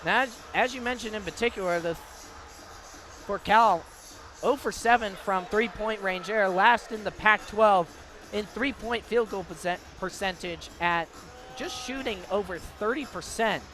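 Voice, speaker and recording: A man's voice: high voice, a little slow, bright, forceful tone, faint music behind. An American man doing live radio play-by-play commentary of a basketball game. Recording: live broadcast with clear background noise. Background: basketball game ambience, bouncing ball.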